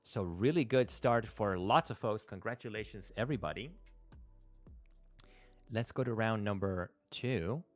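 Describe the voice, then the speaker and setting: Low-voiced man thinking aloud on stream. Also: a little slow, soft background music. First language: Spanish. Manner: thinks aloud, hesitant, many fillers and restarts. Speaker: livestream host. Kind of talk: casual live-stream commentary while playing. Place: small room at a desk. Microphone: close studio mic on a stand.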